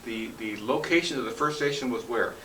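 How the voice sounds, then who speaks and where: American guy doing a casual interview, folksy, bright voice, roomy and a bit noisy. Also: medium pitch, a little fast. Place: echoey radio studio. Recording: roomy, distant mic, faint background noise.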